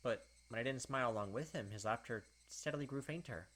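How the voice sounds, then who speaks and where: A man's voice: mid-range voice, fast, fairly bright tone. A man reading visual novel narration aloud as a let's player, breaking off for casual asides.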